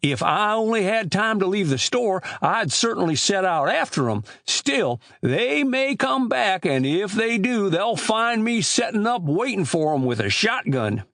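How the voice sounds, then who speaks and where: A man's voice: sits high, very animated, measured pace, few pauses, fairly bright tone, dry recording, studio quality, pitched above the performer's own voice, exaggerated voice. A man voicing a folksy old village storekeeper in a storybook, with rustic, ungrammatical country talk. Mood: aggrieved. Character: folksy old male village storekeeper, aggrieved but generous. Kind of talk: storybook character voice.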